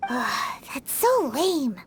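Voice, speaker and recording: A woman voicing a tiny, blunt and perky childlike fairy companion in a video game, with a squeaky, expressive delivery. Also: very slow, background noise, exaggerated voice. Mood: blunt, complaining. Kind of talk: video game cutscene dialogue. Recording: music under the voice. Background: background music.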